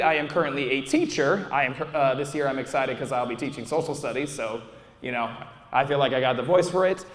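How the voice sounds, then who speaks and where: A young man with a fairly high voice talks casually to a convention audience, rambling and restarting his sentences as he goes. His voice carries a long hall reverb in a large panel room, as heard from a fan's recording in the crowd. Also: fairly bright tone. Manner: rambling, frequent restarts and fillers. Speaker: YouTuber presenting a convention panel. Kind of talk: casual live panel talk.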